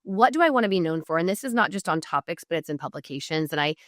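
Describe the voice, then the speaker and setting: A woman's voice: deep voice, lively intonation, fast talker, a bit bright, no echo, clean studio sound. An American woman speaking as a podcast guest, explaining business advice conversationally with emphatic asides and self-interruptions. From Oregon, United States. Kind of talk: conversational interview, informal coaching.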